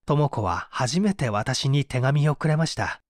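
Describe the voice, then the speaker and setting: A man's voice: medium pitch, expressive, a little slow, no room sound, studio quality, natural voice. A man voicing a distressed, defensive murder suspect under interrogation in a dramatic audiobook, agitated and pleading as he protests. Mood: defensive, insistent.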